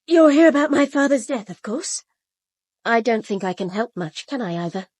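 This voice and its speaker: A young upper-class British woman in a radio mystery. She is brittle and bitter, with clipped, caustic delivery. The voice is slightly high and husky, recorded dry and close. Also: animated, moderate pace, darkish tone, dry recording. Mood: guarded. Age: young adult. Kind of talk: British radio drama acting.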